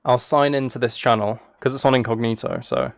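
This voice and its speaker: A man's voice: mid-range voice, steady tone, a little fast, no room sound, studio quality. A man livestreaming casually, reacting and chatting in an easily sidetracked, self-deprecating way. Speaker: live streamer. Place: indoors at a streaming setup.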